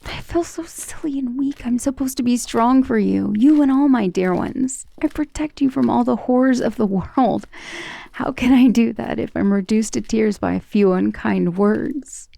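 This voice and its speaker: A woman voicing an ancient, tender and protective vampire lover in an intimate, breathy romantic ASMR roleplay, with genteel wording and a vulnerable air. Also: fairly high pitch, normal speed, mellow, no room sound. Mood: vulnerable, anxious. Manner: intimate, breathy half-whispers with sighs.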